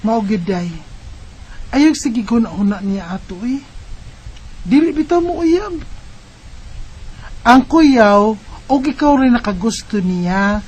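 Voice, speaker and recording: A woman's voice: fairly high pitch, lively intonation, slow, long pauses, a little mellow, slightly rough, full character voice, rough recording. A woman acting a young confidante with a Bisaya accent in a radio drama, speaking in a knowing, insistent way. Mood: concerned. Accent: Filipino, Bisaya. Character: teasing, concerned young female confidante of lovesick girl.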